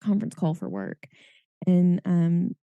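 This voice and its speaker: A hoarse woman, co-hosting a podcast in casual, chatty talk full of fillers. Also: on the low side, big pitch swings, unhurried, warm tone, no room sound, muffled recording. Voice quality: raspy. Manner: chatty, lots of fillers and laughs. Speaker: podcast co-host. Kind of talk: casual chat.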